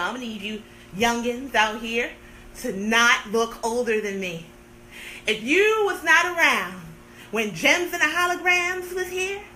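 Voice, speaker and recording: A woman in her thirties hosts a casual livestream with a high, bright voice, teasing her viewers with playful sarcasm. She takes her time, drawing words out. A faint hiss of room noise sits under her voice. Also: low-quality recording. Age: 30s. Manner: playful, sarcastic, theatrical complaining. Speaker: livestream host. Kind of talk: casual live-stream chat with viewers.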